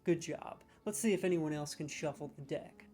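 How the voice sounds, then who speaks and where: A man's voice: a little high, moderate pace, music in the background. A man in a comedic role, scoring lines with sarcastic, mock-serious, playfully exaggerated commentary.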